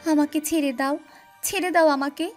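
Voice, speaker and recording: A woman voicing a frightened, naughty young boy who pleads loudly in a children's cartoon story. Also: fairly even intonation, moderate pace, soft background music, lightly acted. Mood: terrified. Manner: loud, high, pleading.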